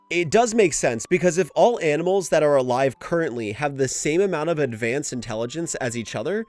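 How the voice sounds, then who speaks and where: A man's voice: on the high side, darkish tone, dry recording. A man narrating a scripted fan lore video, with informal, wry, self-questioning asides. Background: music.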